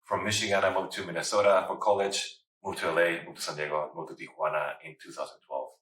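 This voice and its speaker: A man's voice: low-pitched, level tone, fast talker, a bit bright. A man in his thirties from Mexico, chatting casually in an interview with lots of fillers. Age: 30s. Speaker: photographer and writer. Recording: roomy, distant mic.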